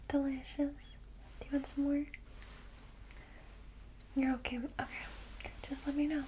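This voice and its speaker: A woman whispering softly and soothingly in an ASMR roleplay as a caring, old-fashioned vampire guide, in a breathy voice. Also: fairly high pitch, slight background noise, poor audio. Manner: gentle, soothing, slow reassurance.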